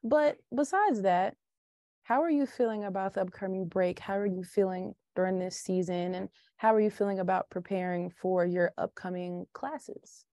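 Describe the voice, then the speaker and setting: Casual young woman host, mellow tone. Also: medium pitch, normal speed, no room sound, clean studio sound. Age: young adult. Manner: casual and informal, filler-heavy. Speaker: podcast host, college student. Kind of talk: casual podcast interview.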